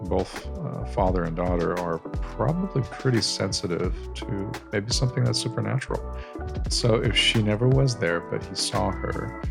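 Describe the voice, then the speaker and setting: A man's voice: lowish voice, expressive, moderate pace, gravelly, music in the background. A man co-hosting a podcast with his wife, chatting casually and playfully. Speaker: podcast co-host. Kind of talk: casual chat. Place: home, Southern California.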